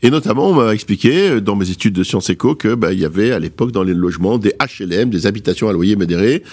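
Low-voiced, emphatic middle-aged man. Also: animated, quick pace, a little mellow. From born outside France, moved there at eighteen. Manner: emphatic, rhetorical, addresses listeners directly. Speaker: guest commentator on housing policy. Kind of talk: opinionated panel discussion.

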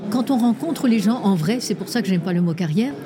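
A woman's voice: medium pitch, expressive, brisk, crowd noise. A woman originally from Iran, a guest in an interview, speaking earnestly and persuasively, laying out figures with care. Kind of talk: conversational interview.

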